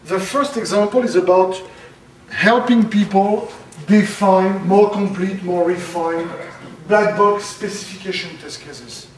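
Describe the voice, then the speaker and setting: A man giving a conference talk, loud and halting, with many fillers. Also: high voice, a little hiss or hum. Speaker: conference speaker. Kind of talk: academic presentation. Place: lecture room. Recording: very echoey, from far off.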